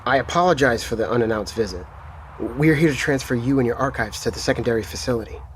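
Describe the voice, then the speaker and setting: A man's voice: medium pitch, fast, a little hiss or hum, lightly acted. An actor playing a polite, composed male military lieutenant in a radio drama, speaking formally.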